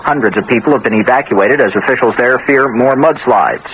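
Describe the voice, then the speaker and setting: A man's voice: mid-range voice, a little fast, a bit bright, poor audio. A man with an American accent reading scripted news as a radio anchor. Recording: old radio broadcast with faint hiss.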